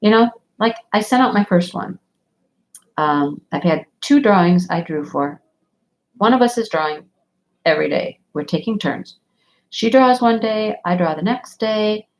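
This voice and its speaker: A woman's voice: medium pitch, lively intonation, unhurried, a little hiss or hum, rough recording. A woman with a stuffy, sniffly nose, rambling chattily as she hosts a crafting livestream. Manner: rambling, chatty, laughs often. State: stuffy nose from a sinus infection. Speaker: diamond painting livestream host. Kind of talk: casual chat while crafting.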